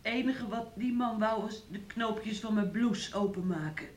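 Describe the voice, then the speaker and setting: A woman's voice: mid-range voice, moderate pace, lightly acted. An actress in a radio play, playing a frail, sick, submissive woman with a hesitant, childlike plaintive manner. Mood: wounded, submissive. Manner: hesitant, plaintive, childlike third-person speech.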